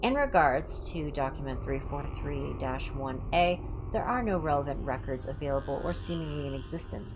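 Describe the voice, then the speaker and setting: A woman livestream host reading horror fiction aloud like a clinical report, in a measured, deliberate way. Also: low-pitched. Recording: background music under the voice. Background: music.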